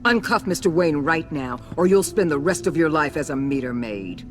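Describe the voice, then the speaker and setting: Cold, imperious agency director. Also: female voice, low voice, lively intonation, moderate pace. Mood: stern, threatening. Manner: commanding, clipped, condescending. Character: cold, imperious middle-aged female government agency director. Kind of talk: dramatic video game voice acting. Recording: music behind the voice.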